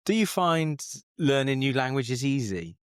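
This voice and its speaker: A man's voice: mid-range voice, big pitch swings, unhurried, smooth, no room sound, studio quality. A man hosting a casual interview, asking friendly, prompting questions. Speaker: podcast host, interviewer. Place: recorded in a workspace studio in London.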